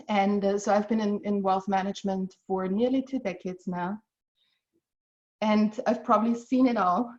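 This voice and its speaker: A woman's voice: mid-range voice, darkish tone, lo-fi sound. A woman in her forties from Austria, giving an earnest, encouraging financial talk as a guest on a video call. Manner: earnest, encouraging, measured explaining. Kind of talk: webinar presentation.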